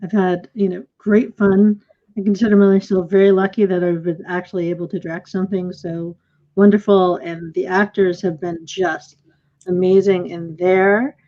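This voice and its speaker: A woman's voice: on the low side, little pitch movement, normal speed. A middle-aged woman hosting a casual post-show discussion as the director, effusive and appreciative in her thanks, speaking over a video call. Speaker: play director and host. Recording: muffled video call audio.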